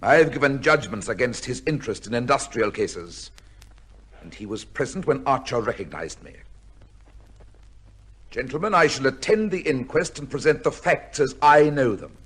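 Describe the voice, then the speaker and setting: An actor playing a proud, imperious judge in an old radio drama, husky and formal in speech. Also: male voice, mid-range voice, animated, a bit bright, a little hiss or hum, lightly acted. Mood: resolute. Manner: imperious, formal, quick to take offence. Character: proud, indignant High Court judge under suspicion.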